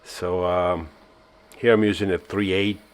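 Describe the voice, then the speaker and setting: A man from Argentina, narrating his woodturning work in a relaxed, self-mocking voice-over with many fillers. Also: low voice, measured pace, smooth. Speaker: woodturning channel host.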